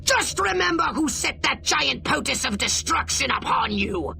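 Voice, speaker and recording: A man's voice: extremely high pitch, sing-song, a little slow, vocal fry, pushed and bright, a little hiss or hum, heavily acted. An actor playing a pompous, scheming male alien scientist commander in a video game, over music. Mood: scolding. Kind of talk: video game cutscene acting. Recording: game audio with music behind.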